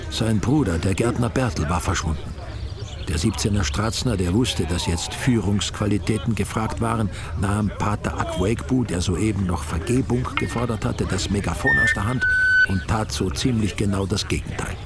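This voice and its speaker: Radio drama narrator , male actor: wry Austrian delivery, lowish pitch with vocal fry, music behind. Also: moderate pace, close to an everyday voice. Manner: wry, ironic. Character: wry male storyteller of an Austrian village crime tale. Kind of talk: scripted narration.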